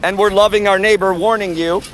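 Loud high-pitched male street preacher. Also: steady tone, fairly quick, slight background noise, rough recording. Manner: loud, forceful proclaiming. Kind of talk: open-air street preaching. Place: outdoor sidewalk by a street.

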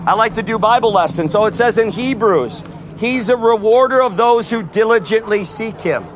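A man preaching loudly on the street outside a concert, without an amplifier, calling out to passers-by as neighbors. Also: high-pitched, moderate pace, slight background noise, rough recording. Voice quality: loud voice. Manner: forceful, repeatedly addressing "neighbors". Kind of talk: loud outdoor street preaching.

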